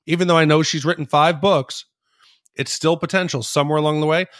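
A man's voice: fairly high pitch, fairly even intonation, a little fast, no room sound, studio quality. A man hosting a TV recap podcast, talking in an explanatory way as he discusses a show. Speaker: podcast host.

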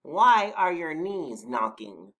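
A man voicing a teasing, doubting storybook badger with a deliberate, challenging manner. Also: a little high, big pitch swings, bright, forceful tone, highs cut off, voice pushed up, full character voice.

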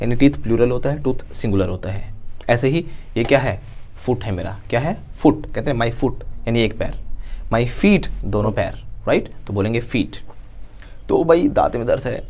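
A man's voice: medium pitch, lively intonation, moderate pace, a little mellow, slight background noise. A man in his thirties teaching a spoken English lesson, acting out little everyday scenes as he goes. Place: dark studio.

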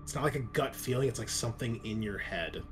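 A man narrating a tabletop role-playing game as game master in a halting, conversational way. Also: medium pitch, a bit bright, faint music behind. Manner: conversational, halting with frequent 'like'.

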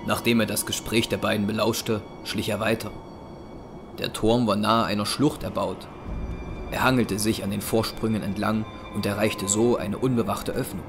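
A man's voice: fairly low pitch, level tone, normal speed. A man narrating an audio drama in a scripted, dramatic storytelling style. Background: music.

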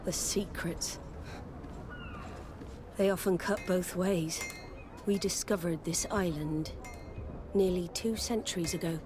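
A middle-aged woman playing a stern, weathered admiral in a video game, speaking gravely and deliberately. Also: lowish voice, fairly even intonation, noisy background. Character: stern, weathered female admiral of secretive seafarers. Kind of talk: scripted video game acting.

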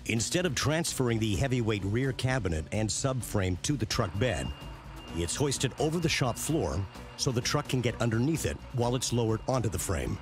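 A man reading scripted narration for a documentary series in a measured, punchy way. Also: medium pitch, very animated. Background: prominent background music.

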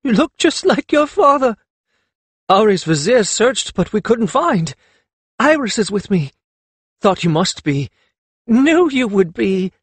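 A man's voice: high voice, big pitch swings, a little slow, breathy edge, no echo, pitched above the performer's own voice, heavily acted. A man voicing a flustered, doting older mother with a fretful, exclamatory way of talking. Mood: overjoyed, babbling. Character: flustered, doting older mother, a warm-hearted working woman.

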